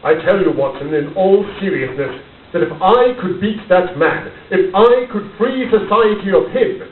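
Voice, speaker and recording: A man acting in a radio drama as a driven, weary, guarded gentleman detective, speaking in a formal, grave, deliberate and dramatic way. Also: sits high, lively intonation. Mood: grave, intense. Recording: tinny band-limited, roomy, distant, faint hiss.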